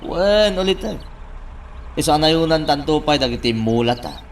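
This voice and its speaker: A man's voice: a little high, lively intonation, normal speed, slight background noise. A man playing a friendly, curious younger man, a respectful nephew, in a radio drama.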